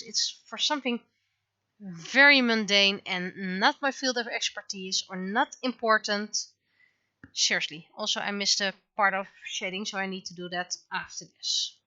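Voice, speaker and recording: A woman's voice: mid-range voice, unhurried, sharp tone, lo-fi sound. A woman chatting casually on a livestream while she works on her art, rambling and thinking aloud. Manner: rambling, thinking aloud, acts out remembered exchanges. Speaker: livestreamer, webcomic artist.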